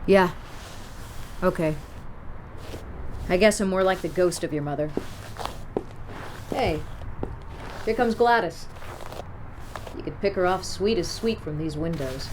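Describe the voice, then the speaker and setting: Woman playing cold cynical merc lady, kinda low voice, dry delivery, radio play, noise behind her. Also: unhurried, pauses often, lightly acted. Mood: cool, wry. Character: cool, cynical woman mercenary, callous guardian to a teen. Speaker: actor in a radio drama. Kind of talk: radio drama acting.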